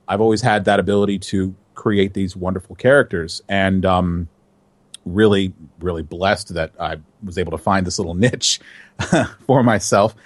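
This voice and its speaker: Man, voice actor, lively talk, lowish voice, dry close sound. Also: no room sound. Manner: animated, chatty, laughs easily. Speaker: voice actor, guest. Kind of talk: casual interview answers.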